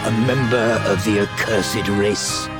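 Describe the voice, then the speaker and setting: An actor playing a serene, unctuous archbishop of a dragon faith in a video game, lofty and measured. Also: male voice, lowish voice, steady tone. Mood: serene, pious. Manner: lofty, measured, falsely benevolent. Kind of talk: dramatic video game acting. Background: loud music.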